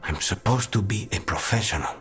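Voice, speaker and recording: A weary, proud man of few words, acted for a video game, troubled and hard on himself. His voice is deep and subdued. Faint music sits behind it. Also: a little fast, fairly bright tone, natural voice. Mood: ashamed.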